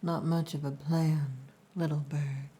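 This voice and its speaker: A woman playing a possessive, gruff yet tender orc chieftain's daughter in an ASMR roleplay, breathy and often whispering, with a deliberate, dominant, teasing manner. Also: deep voice, level tone, dark tone. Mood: stern, possessive.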